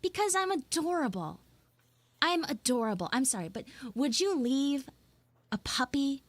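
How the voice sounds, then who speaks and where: A woman's voice: high voice, big pitch swings, normal speed, dry recording. A woman playing a prickly, defensive schoolgirl in a live audio roleplay, stammering and dramatic as she grumbles and pleads. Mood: pouting, pleading.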